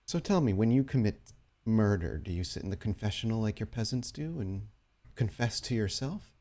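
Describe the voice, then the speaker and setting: Smug vampire crime boss acted by a man: low, measured, formal, quietly menacing, for a tabletop horror game. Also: animated. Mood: taunting.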